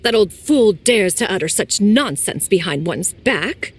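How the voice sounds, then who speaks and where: A woman's voice: mid-range voice, expressive, measured pace, slightly rough. A woman voicing a proud, imperious immortal lady in a video game, with formal, commanding, archaic phrasing. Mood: disdainful, concerned.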